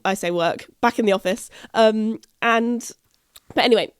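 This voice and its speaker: Croaky British woman hosting a podcast. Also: a little high, normal speed. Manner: chatty, rambling, self-interrupting. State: croaky, husky voice from a chest infection. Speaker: podcast host. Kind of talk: casual podcast chat. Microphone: podcast microphone.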